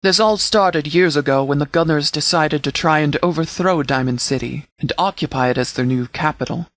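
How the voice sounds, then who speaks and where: A man playing a stern sheriff in a video game, giving formal, measured briefings with authority. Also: fairly high pitch, a bit bright, no echo. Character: stern, formal sheriff commanding a post-apocalyptic settlement militia. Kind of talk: scripted video game dialogue, military briefing.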